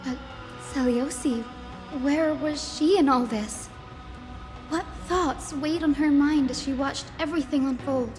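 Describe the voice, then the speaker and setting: An actress playing a curious young companion who asks earnest questions. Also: female voice, high voice, measured pace, long reverb tail. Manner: inquisitive, earnest. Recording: game music behind.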